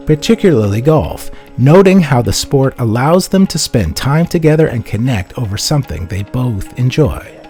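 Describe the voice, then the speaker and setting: A man's voice: medium pitch, a little mellow. A man reading scripted documentary narration in a formal, polished way. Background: faint background music.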